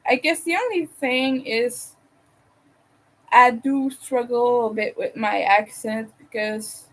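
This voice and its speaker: A woman's voice: on the high side, slow pace, rough recording. A woman who is not a native English speaker, chatting casually while she crochets, hesitating and restarting words. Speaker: crochet vlogger.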